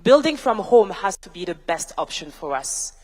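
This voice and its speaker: A woman's voice: mid-range voice, sing-song, darkish tone. Woman speaking in a big hall. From France. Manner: measured, emphatic, speaks in rhythmic lists. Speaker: tech founder, panel speaker. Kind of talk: prepared keynote-style panel answer. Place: large university hall, on stage. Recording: reverberant large hall.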